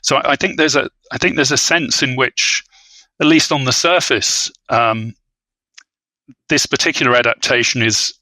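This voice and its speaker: A man speaking as an expert guest in an academic discussion, hesitant and scholarly, often pausing to rephrase. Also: mid-range voice, bright, forceful tone, no room sound, low-quality recording. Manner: halting, hedging, scholarly, frequent "you know". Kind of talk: academic discussion on a film podcast.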